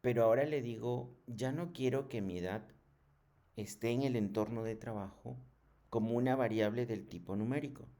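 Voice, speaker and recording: A man giving a programming tutorial in a halting, didactic way, often checking in with short questions. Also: on the low side, mellow. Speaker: programming instructor. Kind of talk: screen tutorial lesson.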